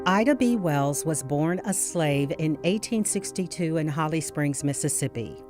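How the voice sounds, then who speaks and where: Low-voiced woman narrating over music. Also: animated, moderate pace. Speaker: documentary narrator. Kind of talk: scripted narration.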